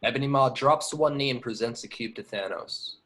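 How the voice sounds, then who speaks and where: A man's voice: mid-range voice, fairly quick, a bit bright, husky. A man narrating scripted action descriptions at a table read. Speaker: stage direction narrator.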